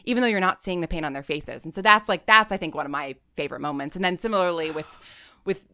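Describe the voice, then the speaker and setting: A woman speaking as a guest in a casual, reflective podcast interview, effusive and halting, with emphatic repetition. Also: lowish voice, sharp tone, no room sound, clean studio sound. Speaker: interview guest, audio fiction writer and director.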